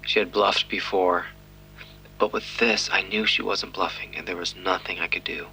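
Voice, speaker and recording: An actor playing a weary, despairing police detective in an audio drama, terse and bitter. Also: male voice, low voice, steady tone, normal speed, gravelly, bright, forceful tone, soft background music, heavily acted, rough recording. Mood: heavy regret.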